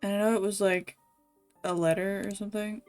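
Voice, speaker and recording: A woman's voice: mid-range voice, little pitch movement, fairly quick, a little mellow, smooth, faint music behind. A woman game streamer chatting casually to viewers as she plays. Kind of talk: casual livestream chat while playing a game.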